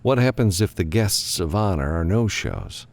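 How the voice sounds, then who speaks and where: A gruff, reluctant male pilot from a storybook , voiced by a male narrator. He is curt and reserved yet polite, with a gravelly edge and varied pitch. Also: big pitch swings, normal speed, a little mellow, full character voice.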